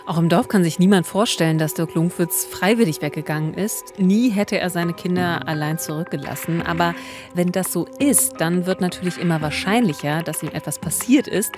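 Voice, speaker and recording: Female podcast host, low register, scripted conversational storytelling, music underneath. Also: a little fast. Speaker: true-crime podcast host, journalist. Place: small studio, indoors. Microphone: close studio microphone.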